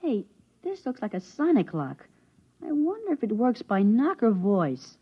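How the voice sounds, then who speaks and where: A woman's voice: a little high, big pitch swings, a little slow, background noise. An actress playing a curious, stubborn thirteen-year-old boy with a boyish, eager manner, in an old radio drama. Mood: eager, cheeky. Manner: boyish, eager and wide-eyed. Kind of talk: 1950s radio drama acting. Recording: muffled 1950s radio broadcast.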